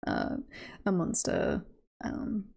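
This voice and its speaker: A woman's voice: slow pace, a little mellow. A woman livestreamer chatting casually and hesitantly as she talks through tier lists. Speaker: streamer.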